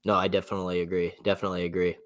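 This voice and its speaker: A young man with a raspy voice co-hosting a casual sports betting livestream, laid-back and agreeable. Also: sits low, level tone, very fast, crowd noise. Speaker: sports betting stream co-host.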